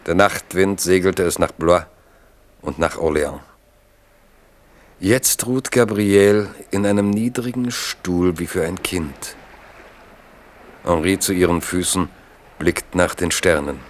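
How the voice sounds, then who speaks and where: A man narrating a literary radio drama in a solemn, measured manner. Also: low-pitched, a little hiss or hum.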